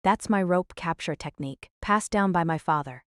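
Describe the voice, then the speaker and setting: Woman as a confident, low-voiced schoolgirl. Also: moderate pace, clean tone, no room sound, studio quality, lightly acted. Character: confident, matter-of-fact teenage girl, aspiring police officer. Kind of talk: anime-style audio drama acting.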